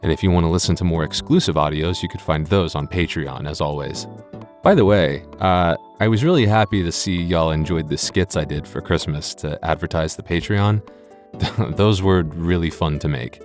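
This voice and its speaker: Male audio creator, deep voice, awkward self-deprecating casual outro delivery, light music underneath. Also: moderate pace, darkish tone. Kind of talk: casual skit-like outro chat to listeners.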